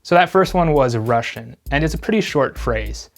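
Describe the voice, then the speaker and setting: A man hosting a tech review, calmly explaining test results in scripted narration. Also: medium pitch, normal speed, slight background noise. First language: English. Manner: calm, explanatory, even-handed. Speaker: gadget reviewer host.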